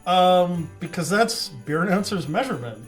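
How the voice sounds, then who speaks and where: A man's voice: high voice, very animated, moderate pace, soft background music. A man co-hosting a review show in casual comic banter, giving wry, brief replies. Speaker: beer review show co-host.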